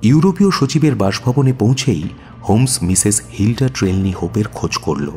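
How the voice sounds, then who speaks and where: An actor playing a detective's loyal companion, telling the story in an expressive, suspenseful radio drama style. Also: male voice, on the low side, a little fast, faint music behind. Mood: dramatic. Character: admiring male companion narrating a detective's adventure. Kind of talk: dramatic first-person storytelling in radio play.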